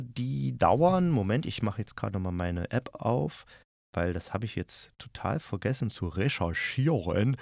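A man's voice: fairly low pitch, a bit bright, no room sound, clean studio sound. A man hosting a casual spoken review, chatting informally and thinking aloud as he goes. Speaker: podcast host reviewing audio dramas.

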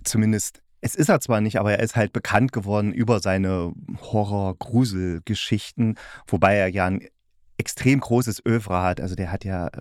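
A man hosting a conversational podcast interview, speaking haltingly as he searches for his words. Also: on the low side, no room sound, studio quality.

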